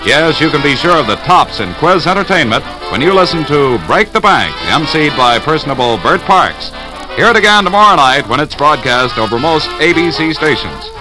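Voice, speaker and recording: A man's voice: on the high side, expressive, moderate pace, music in the background, rough recording. An American man reading a polished, promotional script as a radio announcer on a vintage 1940s broadcast.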